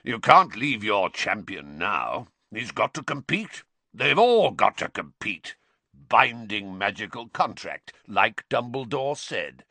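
A battle-worn older man, voiced by a male narrator, growls in terse phrases. He is gruff, cynical and mocking, with swinging intonation, a rough storybook veteran. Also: on the low side, sing-song, slow, pushed and bright, dry recording, heavily acted.

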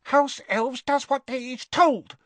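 A man voicing a tiny, timid female house elf servant with a quivering squeak and deferential, broken grammar. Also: fairly even intonation, slow, fairly bright tone, no echo, exaggerated voice. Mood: dutiful. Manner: broken grammar, deferential, constantly says sir.

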